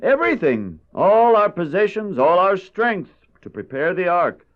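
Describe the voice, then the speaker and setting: An actor playing an earnest, fervent preacher warning of doom in a solemn, declamatory Bible radio drama. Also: male voice, sits high, sing-song, unhurried. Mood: resolute. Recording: muffled old cassette, distant, faint hiss.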